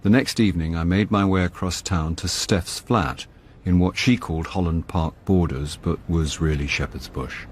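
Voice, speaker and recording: An actor playing a rumpled middle-aged British actor turned amateur sleuth in a radio drama, wry, hesitant and self-deprecating. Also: male voice, low voice, normal speed, few pauses, a little hiss or hum, lightly acted. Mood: dry narration. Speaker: lead actor and narrator. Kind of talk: BBC radio drama acting and first-person narration.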